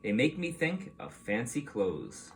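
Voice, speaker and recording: Man reading to children with lively, swooping intonation. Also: mid-range voice, measured pace, creaky, slight background noise. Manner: expressive, explanatory, addressing children with questions. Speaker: teacher reading a picture book aloud to young children. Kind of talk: read-aloud storytelling for young children.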